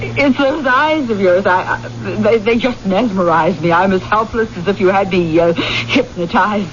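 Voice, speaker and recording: A woman's voice: mid-range voice, moderate pace, close to an everyday voice. An actress playing a besotted, flirtatious, hard-drinking woman, submissive and melodramatic, in a 1970s radio mystery. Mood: flirtatious, adoring. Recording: muffled, noisy vintage radio broadcast.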